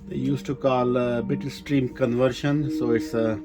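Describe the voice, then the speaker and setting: A man giving a casual, hands-on product demonstration, explaining things informally in a halting, hesitant way. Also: medium pitch, steady tone, over music. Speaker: presenter of a vintage audio gear demo.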